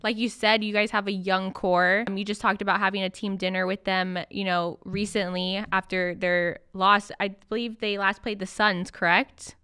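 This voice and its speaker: A woman's voice: medium pitch, flat delivery, normal speed, hardly any pauses, pushed and bright, clean tone, no echo, clean studio sound. A woman hosting a casual sports podcast interview, asking questions in an informal, chatty way.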